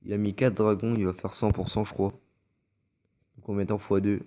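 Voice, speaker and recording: A French-accented man talks through recorded game matches in a relaxed, rather low voice. He is stuffy from a cold and keeps stopping to restart with fillers. His tone stays mellow and soft throughout. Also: moderate pace. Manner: hesitant, many fillers and restarts. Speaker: gameplay commentator. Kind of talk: casual gameplay commentary over recorded matches.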